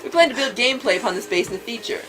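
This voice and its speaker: A woman's voice: on the high side, animated, rapid-fire, noisy background. A woman hosting a let's play, reading game dialogue aloud and bantering in a teasing, sarcastic way. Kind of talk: playful reading of visual novel dialogue with casual banter. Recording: background game music.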